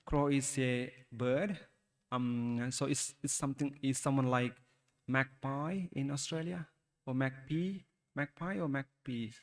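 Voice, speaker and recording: A man with a Sri Lankan accent, a Buddhist monk giving a talk to young listeners, speaking haltingly and asking them questions. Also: mid-range voice, big-room sound. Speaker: Buddhist monk teaching youth. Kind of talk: Dhamma talk for children.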